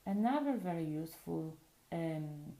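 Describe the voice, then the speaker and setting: Polish lady walking thru phone stuff, low gravelly voice, patient, echoey room. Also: expressive, measured pace, dark tone. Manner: patient, explains step by step. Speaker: tutorial presenter. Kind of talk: casual spoken tutorial. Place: indoors at a table.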